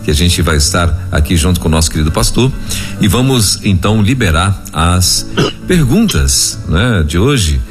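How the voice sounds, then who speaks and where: Deep-voiced jovial radio host, man. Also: very animated, moderate pace, over music. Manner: jovial, laughs often, reads listener questions. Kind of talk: live radio chat.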